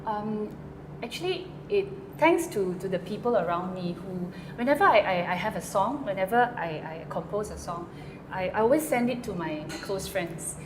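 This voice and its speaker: Woman interviewee, hesitant with many fillers, echoey room, clear background noise. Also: mid-range voice, expressive, normal speed. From Malaysia. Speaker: guest songwriter. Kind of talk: interview answers.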